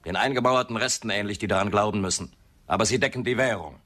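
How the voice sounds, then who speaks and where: An actor playing a suave, grandiose, mocking builder with theatrical, ironic delivery in a radio drama. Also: male voice, on the low side, fast talker, fairly bright tone. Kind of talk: German radio drama acting.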